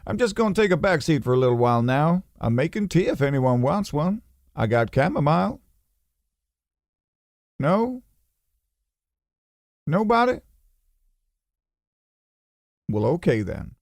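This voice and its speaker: A man's voice: a little high, very animated, moderate pace, long pauses, darkish tone, no echo, clean studio sound. A man playing a chatty, distracted narrator of a comedy radio play, rambling and self-effacing with wry muttered asides.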